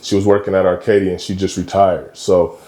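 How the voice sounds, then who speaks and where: A man in his thirties from Michigan, a basketball coach talking as a guest in a casual interview, relaxed and slangy with frequent ums. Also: sits low, a little fast, reverberant. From Michigan, USA. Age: 30s. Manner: laid-back, slangy, lots of ums.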